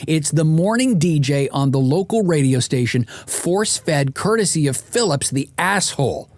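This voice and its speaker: A man's voice: on the high side, animated, normal speed, darkish tone, no echo, studio quality. A man reading a scripted audiobook as its author, telling it in a wry, comic way with exasperated emphasis. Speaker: author and podcast host narrating.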